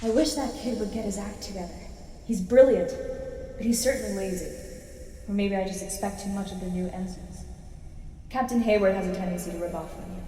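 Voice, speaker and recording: A woman playing a stern but fair starship commander in a sci-fi audio drama, speaking in a brisk, dry way. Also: mid-range voice, warm tone, a little hiss or hum, lightly acted. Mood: fair, exasperated.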